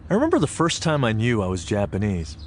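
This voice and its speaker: Expressive American man reminiscing. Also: on the low side. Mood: reflective. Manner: expressive, deliberate storytelling with weighted pauses. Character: Japanese American man recalling his farm childhood, earnest, reflective. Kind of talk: audio theatre monologue, dramatic storytelling.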